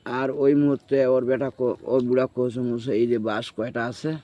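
A man's voice: mid-range voice, level tone, moderate pace, dark tone. A man with a rural northern Bengali dialect telling a story in casual chat, acting out the dialogue and checking that the listener follows. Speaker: storyteller recounting own experience. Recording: muffled, noisy field recording.

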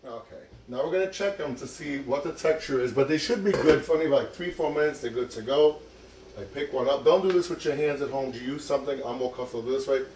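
A man's voice: medium pitch, fast talker, a little mellow, slight background noise, low-quality recording. An older man hosting a casual cooking demonstration in his home kitchen, chatting and encouraging viewers as he works. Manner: chatty, encouraging, talks while working. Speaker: cooking show host.

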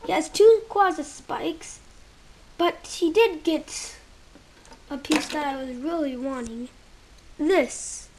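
High-voiced woman, slow halting toy review. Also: darkish tone, slight background noise. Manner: halting, searching for words, trailing off.

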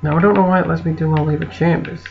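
A croaky, gravelly-voiced British man chats his way through a game in a casual, rambling style that corrects itself as it goes. His voice sits a little high, and the recording is dull, with a faint hiss behind it. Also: fairly even intonation, rapid-fire. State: croaky voice from an infection. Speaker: let's play host. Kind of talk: casual gameplay commentary.

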